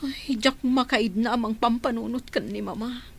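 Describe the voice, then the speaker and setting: A woman's voice: a little high, steady tone, moderate pace, creaky. A woman playing a worried, anxious daughter in an audio drama. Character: worried daughter searching for her missing mother.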